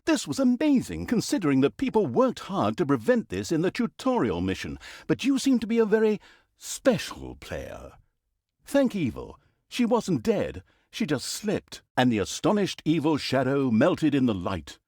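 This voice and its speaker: An actor playing a wry, mischievous storyteller in a fantasy game, narrating in a theatrical, mock-dramatic, sardonic manner. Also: male voice, on the high side, wildly swooping pitch, normal speed, a little mellow, dry recording, clean studio sound. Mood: sarcastic. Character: wry, mischievous male storyteller mocking an evil fantasy saga.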